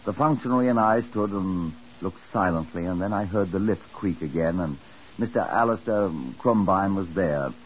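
A man plays a weary chief inspector telling a case in an old radio drama. His male voice is fairly low, dry and measured, full of wry understatement. The recording is muffled with faint hiss. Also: lightly acted. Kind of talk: 1950s radio drama narration.

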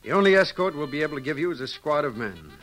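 A man's voice: a little high, animated, fast talker, a bit bright, soft background music, low-quality recording. A man playing an earnest, dutiful cavalry captain in an old radio western. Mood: firm, concerned.

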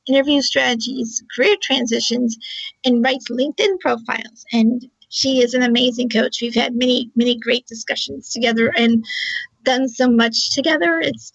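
A woman hosts a radio interview, chatting her way through loose, filler-laden questions. Her voice sits a little high with a gravelly fry, and the sound is muffled and dry, like a lo-fi line. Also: dry recording. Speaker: radio show host. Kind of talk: casual interview.

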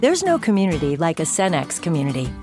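A woman reading scripted commercial narration in a medium-pitched voice. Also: wildly swooping pitch, quick pace, mellow. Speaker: advertising narrator. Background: music.

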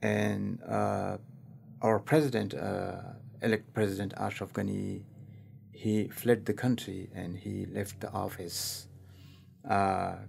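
A man's voice: fairly low pitch, level tone, slow, gravelly, darkish tone. A man, talking as an interview guest in a hesitant way with many fillers and restarts. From Afghanistan. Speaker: guest, civil rights activist. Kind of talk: casual interview talk.

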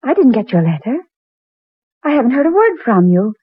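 A woman's voice: a little high, sing-song, normal speed, slightly rough, no echo, phone-quality sound. An actress playing a devoted, anxious young woman in love, tender and earnest, in 1940s radio drama style. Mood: pleading.

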